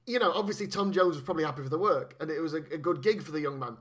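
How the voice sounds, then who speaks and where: A man's voice: a little high, rapid-fire. A man co-hosting a podcast, chatting casually and jokily about a film, swearing freely. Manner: jokey, animated, swears freely. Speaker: podcast co-host. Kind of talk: casual film-review chat.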